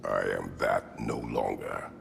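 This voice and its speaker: An actor playing a stern, brooding, battle-worn Spartan warrior god in a video game, speaking tersely and solemnly. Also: male voice, a bass voice, steady tone, a little slow, creaky, background noise, exaggerated voice. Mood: stern, guarded. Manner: terse, solemn, formal phrasing. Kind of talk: dramatic video game acting. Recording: cavernous echo, loud music underneath.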